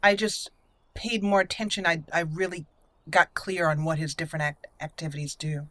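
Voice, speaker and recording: Lower-pitched woman narrating a video game. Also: fairly even intonation, normal speed, pushed and bright. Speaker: gameplay host. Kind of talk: casual let's play commentary with roleplay storytelling.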